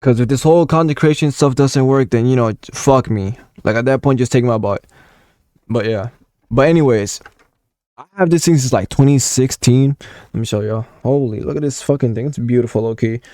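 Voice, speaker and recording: Young male vlogger, 19, from Puerto Rico; warm, relaxed delivery, casual and profane, dry close recording. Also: medium pitch, moderate pace, dry recording. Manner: rambling, swears a lot, many fillers. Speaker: gaming content creator. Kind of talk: casual storytelling vlog.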